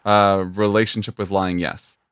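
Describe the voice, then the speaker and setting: A man's voice: fairly low pitch, flat delivery, normal speed, a bit bright, clear, resonant tone, no echo, studio quality. A man co-hosting a casual podcast who thinks aloud, hedging his guesses and joking. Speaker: podcast co-host.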